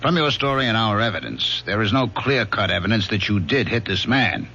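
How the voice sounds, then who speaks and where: A gruff, weary police lieutenant. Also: male voice, fairly low pitch, animated, a little fast, sharp tone, a little hiss or hum. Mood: businesslike. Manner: exasperated, sarcastic grumbling. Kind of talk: 1970s radio drama acting. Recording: dull lo-fi old radio broadcast.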